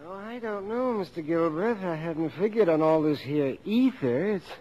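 A man's voice: a little high, animated, normal speed, mellow, muffled recording. An actor playing a timid, queasy, folksy cameraman in a 1950s radio comedy drama, hesitant and whining. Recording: noisy 1950s radio broadcast.

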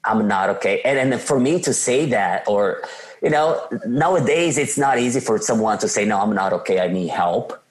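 A man's voice: fairly low pitch, moderate pace. A man from Brazil with a Brazilian Portuguese accent, a guest telling his life story in an interview and acting out old conversations. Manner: animated, acts out his own past dialogue.

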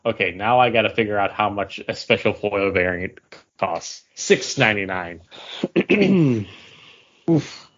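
A man's voice: lowish voice, expressive, a little slow, pauses often, a bit bright, highs cut off. A man co-hosting a podcast in casual, off-the-cuff chat. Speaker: podcast co-host.

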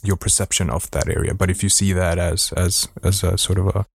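Gravelly low-voiced man, reflective. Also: level tone, brisk, no room sound, studio quality. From Sweden. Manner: thoughtful, hesitant, many restarts and fillers. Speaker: guest. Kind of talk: reflective conversational interview.

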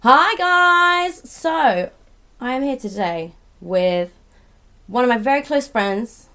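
A woman hosting a podcast in a casual, bubbly, rambling way, her voice croaky from a recent illness. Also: high voice, expressive, slow pace, sharp tone, faint music behind. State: hoarse from illness. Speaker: podcast host.

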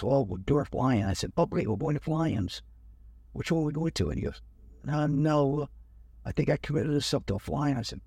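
Older male guest, husky, dry close mic, lively storyteller who acts out the other voices. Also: medium pitch, no room sound. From United States. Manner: animated, acts out other people's lines. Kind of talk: storytelling in an interview.

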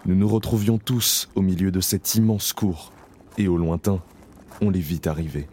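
A man narrating an audio drama in a slow, solemn, measured way, with a low grave voice. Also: steady tone, darkish tone, slight background noise. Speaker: narrator playing Isaac, camp prisoner. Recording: clear music bed under voice. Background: music.

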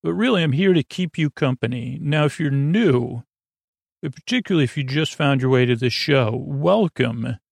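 A man with a creaky voice hosting a sleep podcast, meandering haltingly and goofily through a bedtime monologue and often interrupting himself. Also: medium pitch, animated, no echo, studio quality. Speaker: podcast host. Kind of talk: rambling bedtime monologue to help listeners sleep.

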